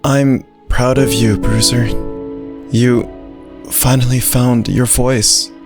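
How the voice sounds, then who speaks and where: A gentle, brave little dog played by a man tells his story with childlike simplicity. His voice sits at a middling pitch, with clear music underneath. It is a scripted visual novel narration, earnest and loyal in temper. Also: steady tone, slow. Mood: weary. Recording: music behind the voice.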